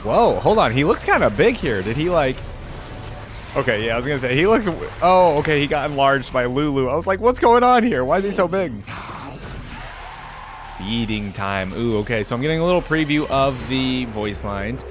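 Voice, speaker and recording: A man's voice: medium pitch, very animated, normal speed, slight background noise. A man hosting a gaming reaction video, talking casually with many "like"s and "um"s and reading ability text aloud. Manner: curious, chatty, many likes and ums, laughs often. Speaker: gaming reaction channel host.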